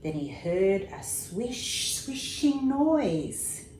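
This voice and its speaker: A woman reads a bedtime story aloud to young children in an expressive, unhurried way, pausing for little asides. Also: mid-range voice. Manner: unhurried, expressive, pauses for asides about pictures. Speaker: storybook reader, emergency services commissioner. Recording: roomy echo with faint background noise.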